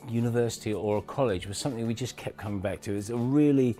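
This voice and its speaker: Male game developer, interview style, dark mellow tone, faint music underneath. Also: medium pitch, fast. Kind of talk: promotional interview.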